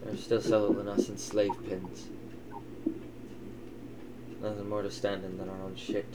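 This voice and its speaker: A man voicing a gruff, doting old elven father who swears and teases in an improvised tabletop roleplay. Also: on the low side, monotone, measured pace, close to an everyday voice. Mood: grave storytelling. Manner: wry, teasing, swearing. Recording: clearly noisy, ambient sound behind.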